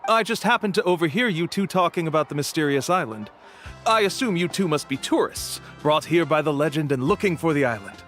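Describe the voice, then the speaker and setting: A man playing a smooth-talking scammer who poses as a tour guide in a video game, with florid, persuasive patter. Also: a little high, animated, brisk, a bit bright. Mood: ingratiating. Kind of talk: animated video game dialogue. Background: music.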